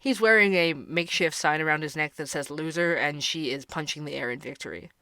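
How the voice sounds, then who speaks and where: An earnest young podcast host. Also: male voice, on the high side, brisk, bright, forceful tone, clear, resonant tone, no room sound, studio quality. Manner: earnest, wry narration. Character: young male barista podcaster, curious and earnest.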